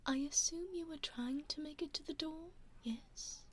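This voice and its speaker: A woman with a British accent voicing a teasing aristocratic female vampire in an ASMR roleplay, whispering softly and slyly into a binaural mic. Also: high-pitched, measured pace, a little hiss or hum. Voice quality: soft whisper, sly and mocking.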